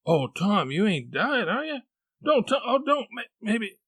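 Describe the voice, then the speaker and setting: A man's voice: sits high, sing-song, measured pace, fairly bright tone, husky, no echo, pitched above the performer's own voice, heavily acted. A man voicing an alarmed, pleading young boy, earnest and gullible, in an audiobook reading.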